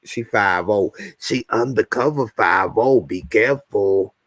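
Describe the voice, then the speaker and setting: Joking man in his forties, low voice. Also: unhurried, a bit bright, dry recording. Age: 40s. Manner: joking, repeats lines for emphasis. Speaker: YouTube show host. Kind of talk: casual chat to viewers.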